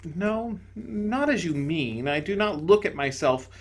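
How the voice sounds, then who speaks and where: A man voicing a radiant, serene male spirit who speaks with gentle, patient calm, in a storybook reading. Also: sits high, unhurried, voice pushed up, exaggerated voice.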